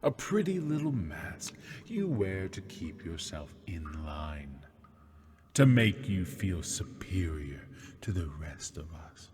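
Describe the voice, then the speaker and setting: A cruel, smug demon taunting his captor in a deep, husky half-whisper, performed by a man in an ASMR roleplay. He drawls slowly and mockingly, with long reverb trailing each word. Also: sing-song, a little mellow, soft background music, heavily acted. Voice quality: breathy half-whispered delivery. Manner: mocking, slow and seductive.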